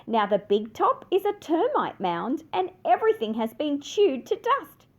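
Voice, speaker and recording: A woman's voice: high-pitched, sing-song, measured pace. A woman voicing a wistful but upbeat circus ringmaster, expressively, in a children's storybook read-aloud. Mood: dramatic lament.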